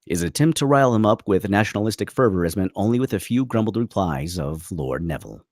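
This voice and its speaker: A man with a low voice narrates a screenplay, reading scene headings and action. His delivery is dramatic with lively swings in pitch, recorded close and dry. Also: fast, no echo. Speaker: narrator reading stage directions. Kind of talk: dramatic scripted narration at a table read.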